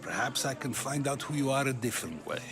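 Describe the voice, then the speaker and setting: An actor playing a probing, articulate ancient Athenian philosopher in a video game, musing and questioning. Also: male voice, mid-range voice, animated, fast talker, natural voice. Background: game music.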